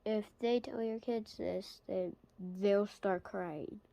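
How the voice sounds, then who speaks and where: A woman playing a solemn emergency alert announcer in an amateur doomsday broadcast, halting and stumbling over the script. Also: medium pitch, gravelly, mellow. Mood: faltering.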